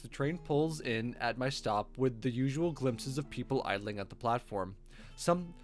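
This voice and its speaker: Male streamer, wry and sweary, very expressive read of visual novel narration with casual commentary, music underneath. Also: medium pitch. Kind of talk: reading game text aloud with casual asides. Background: music with guitar.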